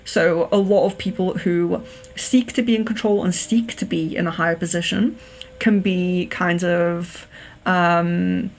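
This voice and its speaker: An English woman from near Liverpool with a slight Liverpool accent, reading palms on a livestream in a careful, clear telephone voice with frequent ums and restarts. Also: on the low side, steady tone, a little slow, a little mellow, a little hiss or hum. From England. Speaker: psychic livestream host.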